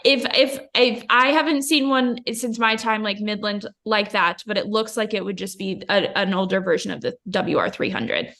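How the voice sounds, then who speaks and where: A woman's voice: medium pitch, moderate pace, hardly any pauses, fairly bright tone. A woman company representative answering product questions informally, a little hesitant and correcting herself as she goes.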